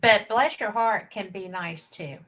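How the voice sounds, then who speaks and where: A woman's voice: mid-range voice, measured pace, over a noisy crowd. An older woman with a Southern US accent, hosting a casual live-stream chat, chatty and folksy, laughing easily. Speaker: host.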